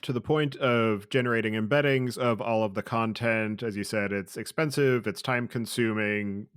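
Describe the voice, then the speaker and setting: A man's voice: lowish voice, normal speed, smooth, no room sound, clean studio sound. A man hosting a podcast interview, posing formally worded questions in long, articulate sentences.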